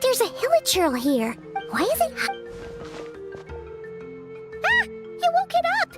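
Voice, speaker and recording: Super high squeaky little fairy companion for a game, childlike n curious, bouncy voice all over the place. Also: female voice, huge pitch swings, a little slow, heavily acted. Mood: curious, excited. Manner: exclamatory, speaks of self in third person. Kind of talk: video game voice acting. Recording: music behind the voice.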